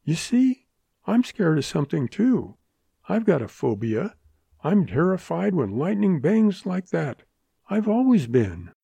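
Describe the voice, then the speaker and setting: Man voicing a spirited young woman, lifted pitch. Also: big pitch swings, unhurried, vocal fry, warm tone, breathy edge, dry recording, full character voice. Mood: confiding. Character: young woman June, spirited, teasing, earnest. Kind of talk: audiobook western character voice.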